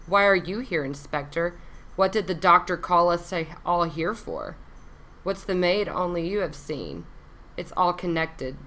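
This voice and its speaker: A woman plays a cunning noir femme fatale for a radio drama. Her voice sits a little low, with a bright, forceful edge, and her lines are dramatic and pointed.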